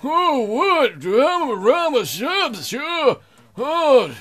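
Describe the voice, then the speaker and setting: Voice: sits high, sing-song, very slow, fairly bright tone, faint music behind, pitched above the performer's own voice, exaggerated voice. A game player voicing a doddering, befuddled elderly male bank guard who mumbles in garbled nonsense. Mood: startled confusion.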